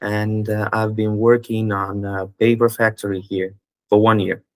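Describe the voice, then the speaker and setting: A man's voice: fairly low pitch, flat delivery, measured pace, no room sound. A man from North Macedonia answering interview questions in short, hesitant replies. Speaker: guest, student seller.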